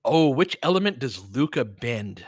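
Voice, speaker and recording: A man's voice: mid-range voice, sing-song, normal speed, breathy edge, dry recording, studio quality. An American man calling basketball play-by-play in an informal, conversational, opinionated way, mixed with casual fan chat. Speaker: play-by-play host of a fan stream.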